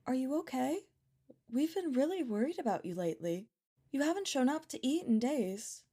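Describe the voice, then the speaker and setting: Husky, caring young woman. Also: high-pitched, very animated, moderate pace, full character voice. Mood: gentle concern. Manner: gentle, solicitous. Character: kind, worried young woman, caring fellow servant. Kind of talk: audio drama acting.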